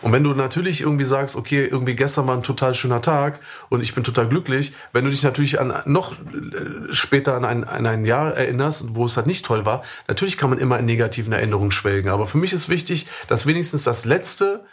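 A husky man from Germany co-hosting a podcast at an average pitch. He talks in a relaxed, reflective way, with frequent fillers and a steady stream of agreeing "ja"s. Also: quick pace, few pauses. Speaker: podcast co-host. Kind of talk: casual conversational podcast.

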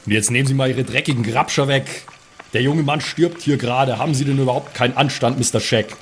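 A man playing a flustered, protective gentleman guardian, formal and stammering, in an improvised fantasy audio play. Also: mid-range voice, steady tone, quick pace, a little hiss or hum, close to an everyday voice. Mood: indignant.

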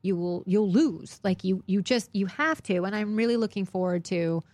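A woman's voice: mid-range voice, normal speed, darkish tone, dry recording. Candid, rambling woman. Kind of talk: casual chat.

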